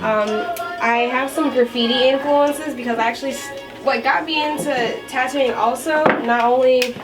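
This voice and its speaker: A woman's voice: sits high, fairly even intonation, normal speed. A chatty woman around twenty, born in Japan, a tattoo artist answering interview questions casually and laughing often. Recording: loud background music. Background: loud music.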